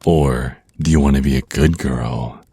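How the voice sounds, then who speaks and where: Very deep, slow, smug male vampire naga voiced by a man. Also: steady tone, dark tone, breathy edge, no room sound, heavily acted. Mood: teasing, threatening. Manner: smug, teasing, slow deliberate menace with drawn-out words. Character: flirty, menacing male vampire naga crime lord, smug and teasing. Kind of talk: ASMR roleplay acting.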